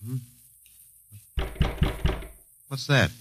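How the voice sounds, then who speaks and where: An actor playing a bewildered, wry anthropology professor in a 1950s radio drama, talking in a hesitant, questioning, conversational way. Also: male voice, a little fast, background noise, natural voice. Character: bewildered, wry male anthropology professor, last human alive. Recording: vintage radio broadcast recording.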